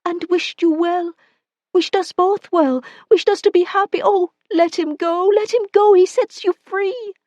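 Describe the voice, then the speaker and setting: A woman voicing a frantic young woman who pleads in a high, trembling voice. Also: fairly even intonation, measured pace, a little mellow, no room sound, dull audio. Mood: desperate pleading.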